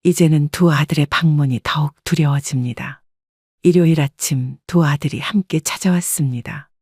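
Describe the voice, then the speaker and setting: A woman narrating a story in the first person, calm and measured. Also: low-pitched, slightly rough, dry recording, clean studio sound.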